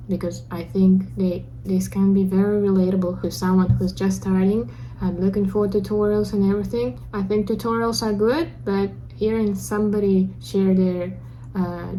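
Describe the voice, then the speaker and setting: A young woman with a Russian accent speaks in a soft, mellow voice, sincere and slightly self-conscious as she talks to her camera. A faint hiss sits under her voice. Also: mid-range voice, low-quality recording. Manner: self-conscious, earnest, a little awkward. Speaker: vlogger. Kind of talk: casual talk to camera. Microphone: camera mic.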